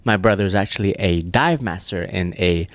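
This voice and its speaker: A man's voice: low-pitched, moderate pace, dry recording. A young man from Malaysia co-hosting a podcast, chatting casually and animatedly with lots of fillers. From Malaysia (Sabah, Borneo). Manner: animated, rambling with many fillers. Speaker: podcast co-host. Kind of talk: casual chat.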